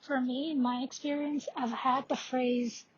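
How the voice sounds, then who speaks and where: A woman's voice: high voice, flat delivery, unhurried, husky. A young woman in her twenties, a student mentor speaking earnestly in a podcast discussion. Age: young adult. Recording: dull lo-fi audio, faint noise.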